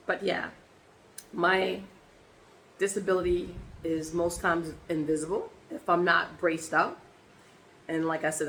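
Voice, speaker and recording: A woman with a hoarse voice from laryngitis, chatting casually in a personal vlog and rambling with many ums and restarts. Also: on the low side, a bit bright, a little hiss or hum, poor audio. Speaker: vlogger.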